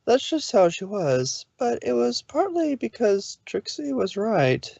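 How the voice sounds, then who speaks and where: Slow, dry-sounding male narrator. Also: very high-pitched, huge pitch swings, gravelly, a little mellow, slightly rough, no echo, dull audio. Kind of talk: scripted fan fiction narration.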